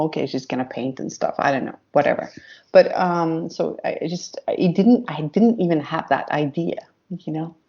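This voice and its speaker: A woman's voice: low-pitched, gravelly, a little mellow, dull audio. A woman singer chatting in an interview, speaking English as a second language, hesitant and searching for words. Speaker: synth pop singer, guest. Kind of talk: casual interview chat.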